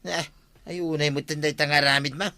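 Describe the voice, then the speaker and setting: A man's voice: a little high, flat delivery, normal speed, sharp tone, no room sound. A man playing an exasperated village man who complains emphatically, in an Ilocano radio comedy drama. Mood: impatient.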